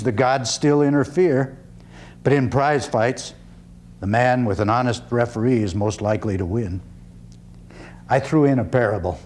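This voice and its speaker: A male actor performs a 19th-century orator and proud veteran in a one-man play. The man's voice sits at a medium pitch and swings widely for emphasis. He declaims in deliberate, measured phrases, with dry irony and a freethinker's conviction. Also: a little mellow, natural voice. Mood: wry, mocking, then storytelling, wry. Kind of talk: dramatic reading, theatrical oratory.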